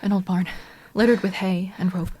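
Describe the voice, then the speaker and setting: An actress playing a frightened young woman musician on the run, telling her story in a hushed, measured, tense way in an audio drama. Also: mid-range voice, fairly even intonation, husky, dry recording, lightly acted, poor audio. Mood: tense, grave. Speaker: narrator and lead character. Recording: music bed under voice.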